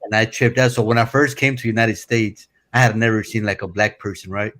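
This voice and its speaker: A man's voice: fairly low pitch, fairly quick. A man from Mexico whose first language is Spanish, calling into a live stream and talking casually and bluntly. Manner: relaxed, rambling, blunt with profanity. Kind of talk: casual opinionated chat.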